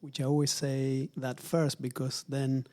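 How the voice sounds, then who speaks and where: A man in his forties from Argentina, speaking English with an Argentine Spanish accent, answering interview questions in a measured, thoughtful way with frequent fillers. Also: mid-range voice, monotone, darkish tone. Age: 40s. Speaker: retail executive guest. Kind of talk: interview conversation. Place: office at company headquarters.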